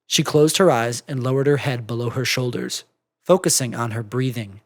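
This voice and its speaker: A person reading a story aloud as a scripted narration, in an expressive, dramatic storytelling way. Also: medium pitch, normal speed, dry recording. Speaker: fan fiction reader.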